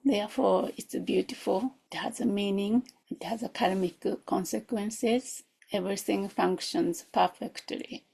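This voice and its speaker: Slow-paced woman guiding a meditation. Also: mid-range voice, steady tone, vocal fry, dark tone, breathy edge. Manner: reflective, questioning, short spaced phrases. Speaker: meditation teacher. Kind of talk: guided meditation, gentle instruction.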